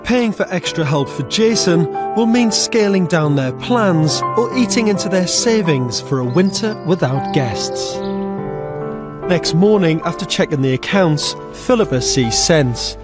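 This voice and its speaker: A man's voice: high-pitched, expressive, measured pace. A man narrating a television documentary as its presenter, reading from a script. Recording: music behind the voice.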